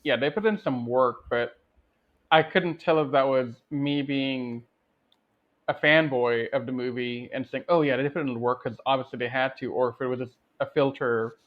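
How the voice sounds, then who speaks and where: A man's voice: medium pitch, moderate pace. Conversational man on a dull microphone. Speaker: podcast co-host. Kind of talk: casual film discussion.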